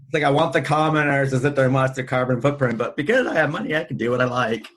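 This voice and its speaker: A man's voice: mid-range voice, quick pace, fairly bright tone. A man hosting a podcast in a casual, chatty and informative way, discussing films with a rambling delivery. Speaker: podcast host.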